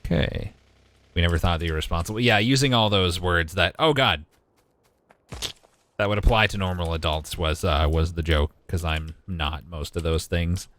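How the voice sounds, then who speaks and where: A man in his twenties with a deep voice gives casual, wry live gaming commentary and talks back to his chat. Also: normal speed, fairly bright tone. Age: 20s. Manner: wry, joking, mild swearing, talks to chat. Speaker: game streamer.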